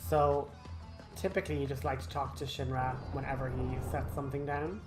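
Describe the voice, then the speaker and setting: A man's voice: medium pitch, flat delivery, fairly quick, over music. A man hosting a game walkthrough in a casual, chatty style with wry asides. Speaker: gaming walkthrough host.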